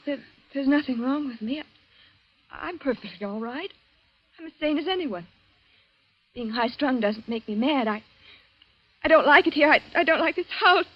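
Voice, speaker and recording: A woman's voice: high-pitched, animated, dry recording. Nervy moody young woman, old radio play, repeats herself, stammery and defensive, dry dull sound. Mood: rising panic. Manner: halting, repeats phrases, insistent. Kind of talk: 1940s radio drama acting. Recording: dull vintage radio recording.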